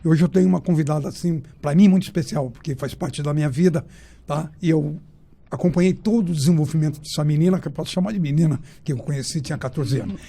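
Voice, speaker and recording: A man's voice: a little high, fast, gravelly, warm tone. A middle-aged man hosting a sports and training podcast, interviewing a guest in a familiar, informal way.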